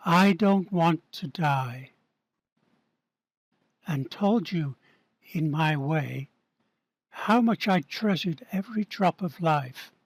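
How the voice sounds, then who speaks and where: A man's voice: high-pitched, husky, no room sound, heavily acted. A man playing an earnest, desperate man pleading for his life in a staged play reading. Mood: anguished.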